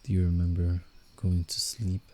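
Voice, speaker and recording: A man voicing a gentle, protective werewolf in slow roleplay ASMR, hushed and soothing with a slightly condescending air and a low, gravelly voice. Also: natural voice. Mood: coaxing, serious. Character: gentle, protective male werewolf guiding a fragile human. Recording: steady night insect ambience, background noise. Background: crickets and night forest ambience.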